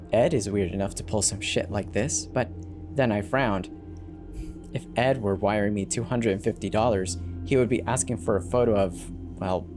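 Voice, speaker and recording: A narrator giving an expressive, dramatic first-person reading of a horror story. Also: medium pitch. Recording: background music behind the narration. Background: music.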